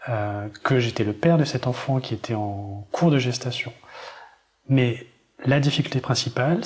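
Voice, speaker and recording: A French father giving an interview, speaking deliberately and firmly to make his point. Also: mid-range voice, darkish tone. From France. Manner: deliberate, firm, argumentative. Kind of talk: interview answer.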